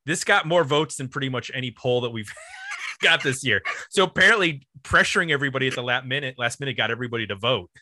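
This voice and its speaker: A man's voice: medium pitch, lively intonation, fast, pushed and bright, no echo. A man hosting a podcast, talking casually about music in a rambling, enthusiastic way. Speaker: podcast host.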